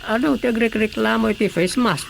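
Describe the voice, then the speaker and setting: A woman playing a bemused village councilor with an Ilocano accent in a comic radio drama, grunting and halting. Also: fairly high pitch, vocal fry, a little hiss or hum.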